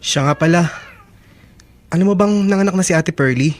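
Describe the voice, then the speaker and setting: Woman playing a young male student, low and earnest. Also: normal speed, a little mellow, close to an everyday voice. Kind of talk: radio drama acting.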